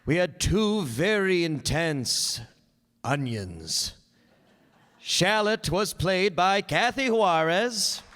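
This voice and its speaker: Male live-show announcer and narrator. High voice, reverberant hall, faint background noise. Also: animated, extremely slow, fairly bright tone. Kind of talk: live stage announcing to audience.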